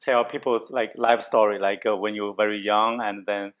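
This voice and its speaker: A man's voice: on the low side, flat delivery, normal speed, a bit bright, highs cut off. A man from China, a native Chinese speaker, hosting a casual interview, friendly, with short affirmations and broken phrasing. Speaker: host and restaurant owner. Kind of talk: casual interview over a meal.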